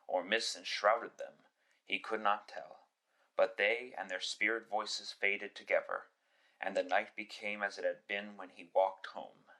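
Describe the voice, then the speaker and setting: A man reads dramatic narration in a fairly low voice with a bright, forceful edge. His delivery is measured and literary, in the manner of a classic storyteller.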